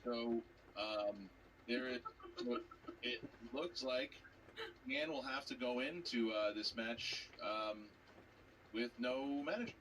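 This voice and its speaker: A man's voice: medium pitch, very animated, slow pace, a bit bright. A man hosting a live event, interviewing and making announcements. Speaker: match host and announcer. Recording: noisy, distant-miked event audio.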